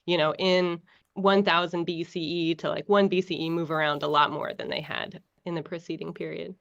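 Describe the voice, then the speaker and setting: A woman's voice: fairly low pitch, moderate pace, vocal fry. American woman on a dull video call. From United States. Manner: relaxed, many fillers and restarts. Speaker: guest researcher. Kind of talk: casual explanatory interview.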